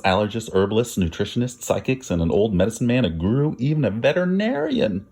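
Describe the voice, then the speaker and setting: A man's voice: lowish voice, a little mellow. A man narrating a children's story expressively, with dramatic, emphatic phrasing. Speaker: storybook reader.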